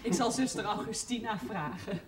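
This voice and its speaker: A woman's voice: fairly high pitch, normal speed, a crowd in the background, lightly acted. A woman playing a timid, dutiful nun in a television period drama. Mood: timid, firm. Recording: old TV soundtrack, voices behind.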